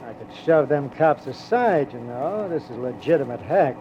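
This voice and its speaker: An actor playing a chatty, nosy working-class cab driver with an American accent and folksy, slangy talk in a 1940s radio drama. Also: male voice, medium pitch, lively intonation, moderate pace, close to an everyday voice. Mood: eager, obliging. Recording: muffled old radio recording, street noise.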